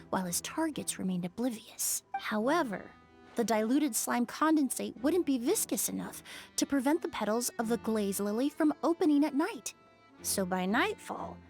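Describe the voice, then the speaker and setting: A young female investigator in a video game, and her voice sits high. She lays out her reasoning step by step in a clear, measured way. Faint music plays underneath. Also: darkish tone. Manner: measured, laying out a deduction step by step.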